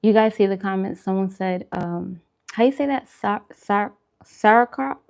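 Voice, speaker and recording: A woman's voice: medium pitch, gravelly, a little mellow. A woman hosting a food vlog, chatting casually to viewers in colloquial slang and repeating phrases for emphasis. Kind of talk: casual chat to viewers during a mukbang livestream.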